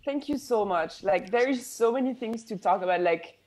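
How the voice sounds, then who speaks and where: A woman's voice: fairly high pitch, animated, moderate pace, darkish tone. A young woman from France with a French accent, a branding coach talking as a guest in a podcast interview, upbeat and full of "like" fillers. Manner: chatty, many "like" fillers, upbeat.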